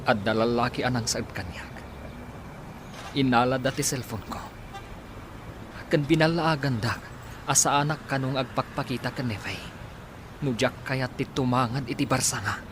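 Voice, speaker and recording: A man's voice: medium pitch, moderate pace, long pauses, close to an everyday voice. A man acts out a young sweetheart in a radio drama, with a mid-range voice. He sounds earnest, aggrieved and plaintive. There is faint noise in the background. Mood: guarded, aggrieved. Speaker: actor in audio drama. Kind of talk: Ilocano radio comedy-drama acting.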